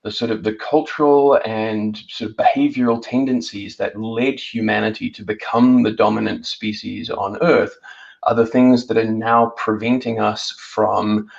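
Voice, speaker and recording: A man with a New Zealand accent giving a reflective, halting personal account as a panel guest. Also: lowish voice, fairly even intonation, creaky, highs cut off.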